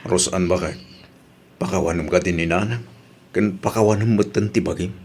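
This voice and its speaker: A man's voice: sits low, moderate pace, darkish tone, a little hiss or hum, lightly acted. A man acting an earnest grown family man who reproves and pleads, in an Ilocano radio drama. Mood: gentle urging.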